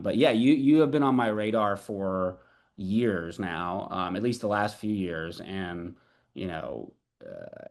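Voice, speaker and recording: Male host, lowish voice, upbeat, rambling with fillers. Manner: enthusiastic, lots of fillers like um and you know. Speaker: radio show host. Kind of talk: casual interview hosting.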